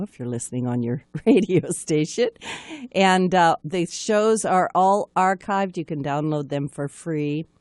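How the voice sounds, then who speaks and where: A woman's voice: low-pitched, a little mellow, no echo, clean studio sound. A woman hosting a live radio talk show in a chatty, enthusiastic way.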